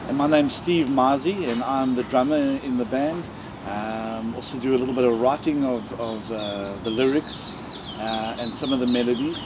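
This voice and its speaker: A South African man, a drummer, chatting in a relaxed interview, halting with fillers and joking. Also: medium pitch, background noise. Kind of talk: casual interview chat.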